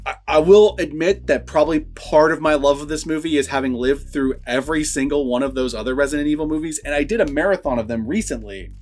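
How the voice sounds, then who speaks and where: Man, fairly high voice, vlog host, casual review chat, rambling and conversational, music behind. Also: quick pace, hardly any pauses, a little mellow.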